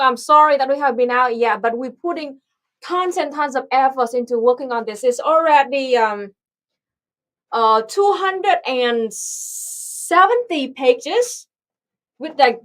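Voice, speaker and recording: A young woman from Vietnam with a Vietnamese accent, hosting a casual livestream and often pausing to search for words. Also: high-pitched, lively intonation, a bit bright. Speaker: livestream host.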